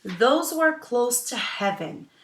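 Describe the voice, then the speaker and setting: A lofty, confident female bird from a children's storybook, read by a woman. She speaks slowly in an assured, declarative way, with the room echoing around her. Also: on the high side, big pitch swings, a bit bright, a little hiss or hum, pitched above the performer's own voice, exaggerated voice. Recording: roomy, echoing sound.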